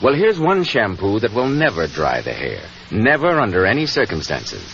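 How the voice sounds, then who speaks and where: A man with an American accent announcing in 1940s radio style, polished and emphatic like a practised pitchman. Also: wildly swooping pitch, normal speed, a bit bright, slight background noise. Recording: muffled 1940s radio broadcast with music.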